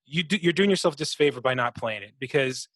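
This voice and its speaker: A man's voice: medium pitch, animated, fast, fairly bright tone, no room sound, clean studio sound. A man co-hosting a podcast, chatting casually about games, swearing freely and imitating sound effects. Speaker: podcast co-host. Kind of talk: casual gaming chat.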